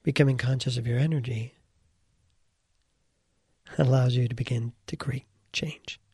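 A man teaches a guided meditation in a dark, relaxed voice with a heavy gravelly fry. He lays out short, deliberate phrases with pauses between them. The sound is dry, with no room around the voice. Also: mid-range voice, dry recording.

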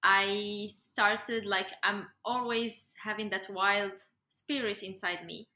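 A woman's voice: mid-range voice, fairly even intonation, slow, pushed and bright. A young adult woman, talking casually as a guest in a podcast interview. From Morocco. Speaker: interview guest, yoga and breathwork facilitator. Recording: roomy, echoey sound.

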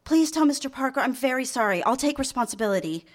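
Casting: actress as eager young career girl secretary, polite, earnest, slightly high voice, radio play. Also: expressive, fast. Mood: polite, businesslike. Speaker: radio drama actor. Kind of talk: live radio drama acting with some narration.

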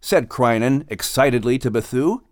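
A man working as announcer and narrator, giving animated scripted storytelling for children. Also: mid-range voice, moderate pace, no room sound, studio quality.

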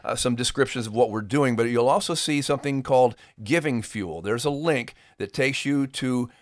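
A man's voice: mid-range voice, moderate pace, dry recording. Middle-aged man, folksy host, close dry sound. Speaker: podcast host and ministry performer. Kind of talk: conversational appeal to listeners.

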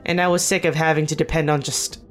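An actress playing a middle-aged government official in an audio drama, speaking candidly with wry, hesitant phrasing. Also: female voice, deep voice, level tone, quick pace, lightly acted. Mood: earnest, candid. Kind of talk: naturalistic audio drama dialogue. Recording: music underneath. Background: background music.

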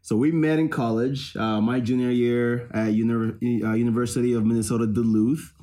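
A man in his late twenties, a guest on a podcast, upbeat and quick to joke and laugh. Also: mid-range voice, fairly even intonation, mellow. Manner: upbeat, jokey, laughs easily. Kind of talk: casual interview conversation. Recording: faint background noise.

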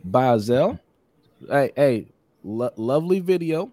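A hoarse man hosting a casual live-streamed sports podcast, upbeat and repetitive, reading out viewer comments and giving shout-outs. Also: mid-range voice, lively intonation, takes it slowly, no echo. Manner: upbeat, repeats phrases, many shout-outs. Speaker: podcast host.

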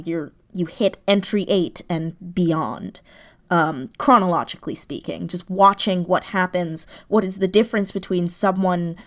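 A woman speaking as an interview guest, explaining her creative thinking in a casual, thoughtful way, searching for words as she goes. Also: fairly low pitch. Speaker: guest, audio drama writer.

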